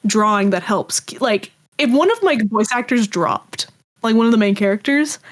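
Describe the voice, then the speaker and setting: Female guest, higher-pitched voice, informal and chatty, rambling conversational delivery. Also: normal speed. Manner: chatty, animated rambling with frequent "like". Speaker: guest, audio drama creator. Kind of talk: casual interview chat.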